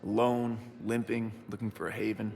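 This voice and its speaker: Gravelly, reflective military pilot. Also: male voice, on the low side, level tone, normal speed, a little mellow, in a large hall. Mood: wistful. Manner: reflective, subdued, sincere. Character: grieving male military pilot, reflective, loyal, knows his ships. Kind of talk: video game voice acting.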